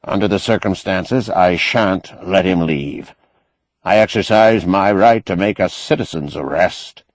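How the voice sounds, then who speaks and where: A man's voice: sits low, a little slow, no echo. A man voicing a wily, indignant, eloquent doctor with pompous, ornate oratory in an audiobook. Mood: indignant, commanding. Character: wily, indignant, eloquent male doctor, master of his house. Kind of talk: audiobook character acting.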